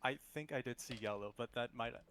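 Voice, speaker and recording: A man's voice: medium pitch, quick pace, a bit bright, slight background noise. A man talking casually in a group online game, arguing his case as a player. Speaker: player in a social deduction game.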